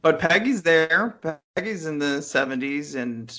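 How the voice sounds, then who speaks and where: A man with a very bright, slightly high voice co-hosts a film review podcast. He talks casually and with enthusiasm over an internet call. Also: lively intonation, unhurried. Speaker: podcast co-host.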